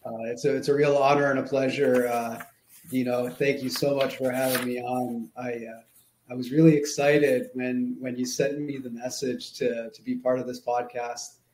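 A man's voice: mid-range voice, little pitch movement, darkish tone, slight background noise. Friendly man in an echoey room. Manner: friendly, halting with fillers. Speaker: guest, chiropractor. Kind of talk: casual interview chat. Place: plain room, indoors. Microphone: video call.

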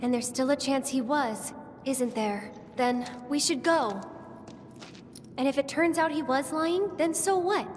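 A young woman playing a gentle, playful and hopeful heroine in a video game. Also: high-pitched, a little slow, a little hiss or hum. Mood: hopeful, reassuring. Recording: long reverb, game music behind.

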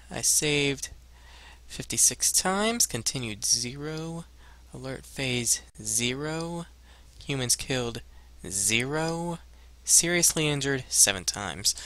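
Bright-voiced man, animated game commentary. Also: medium pitch. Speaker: gaming video host. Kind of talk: casual let's play commentary, reading screens aloud. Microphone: headset.

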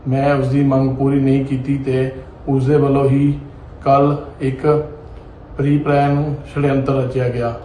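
A young man speaks in a flat, even tone at a middling pitch. His voice sits in a roomy echo with some noise on the recording. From Punjab, India. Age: young adult. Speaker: complainant.

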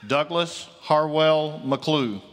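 A man reading graduates' names at a commencement in a slow, measured, ceremonial manner at a podium microphone. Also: a little high. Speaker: commencement name reader. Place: large auditorium with long reverb. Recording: long hall reverb.

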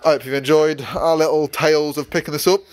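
A man's voice: fairly high pitch, fairly even intonation, quick pace. Rambling man in a workshop. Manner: rambling, many fillers and restarts. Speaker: vlogger, workshop owner. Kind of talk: casual vlog narration. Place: outdoor yard and workshop.

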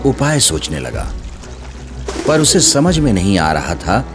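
Male narrator, children's story, highly animated pitch, slow pace, background music. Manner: expressive storytelling. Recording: music under the voice.

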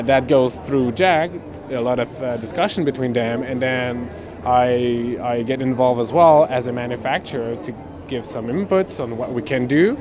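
A man representing a manufacturer, explaining details in a casual interview with frequent restarts. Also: mid-range voice. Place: indoor trade show hall.

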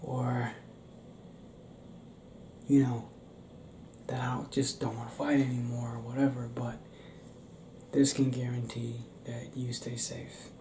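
A young man playing a tender, anxious werewolf boyfriend in an ASMR roleplay, breathy and hesitant, speaking intimately up close. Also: mid-range voice, little pitch movement, slow pace, pauses often, dark tone, a little hiss or hum, natural voice. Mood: uneasy, protective. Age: young adult. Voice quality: breathy, whispery. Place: small indoor room with pale doors. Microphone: close camera mic, within arm's length. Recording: roomy close-up home recording.

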